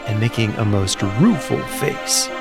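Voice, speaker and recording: A man's voice: lowish voice, sing-song, unhurried, over loud music. A man narrating a scripted fairy tale as a storyteller.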